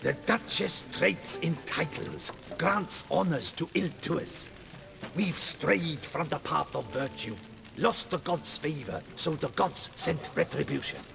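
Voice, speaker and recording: An actor voicing a pious, scornful commoner in a fantasy video game, preachy and accusing, with a creaky voice. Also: male voice, on the high side, slow, over music, full character voice. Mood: righteous scorn. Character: pious, scornful male commoner railing at corrupt knights. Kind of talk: video game cutscene acting.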